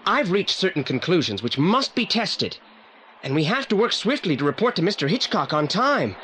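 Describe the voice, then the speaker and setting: A man's voice: high-pitched, very animated, moderate pace, pushed and bright, heavily acted. A precocious boy detective in an old audio play. He speaks formally and pedantically with complete self-assurance, over a faint layer of noise. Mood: determined. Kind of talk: 1980s audio drama acting. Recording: slightly noisy old audio play transfer.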